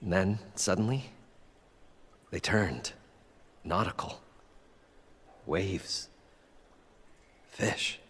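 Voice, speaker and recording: A man acting as an eccentric, proud inventor and salvager in a video game, with a husky voice and showy, eager delivery. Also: sits low, extremely slow, long pauses, fairly bright tone, in a large hall, full character voice. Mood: wondering.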